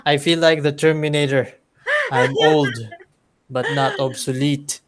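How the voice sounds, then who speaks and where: A man with a Filipino accent, a teacher and graduate student, speaking haltingly and self-deprecatingly in a class discussion over a video call. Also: on the high side, steady tone, over a noisy crowd. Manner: halting, self-deprecating, mixes Tagalog and English.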